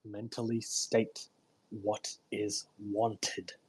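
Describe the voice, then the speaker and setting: Husky-voiced man reading aloud. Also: fairly low pitch, little pitch movement, extremely slow, darkish tone, rough recording. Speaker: host reading a self-help book aloud. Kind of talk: read-aloud audiobook with informal commentary. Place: live online audio room broadcast.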